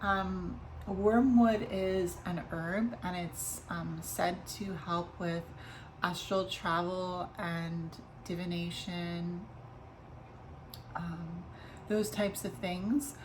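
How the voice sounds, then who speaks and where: A woman with a slightly raspy voice doing a casual tarot reading vlog, talking softly and unhurriedly with many ums and restarts. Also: lowish voice, fairly even intonation, drawn-out words, a little hiss or hum, poor audio. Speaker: tarot reader.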